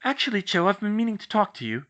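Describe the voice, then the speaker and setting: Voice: medium pitch, very animated, fast talker, fairly bright tone, highs cut off, voice pushed up. A narrator voicing a clever, bossy teenage witch girl who speaks in an earnest, insistent, scolding way. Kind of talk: fan fiction audiobook reading.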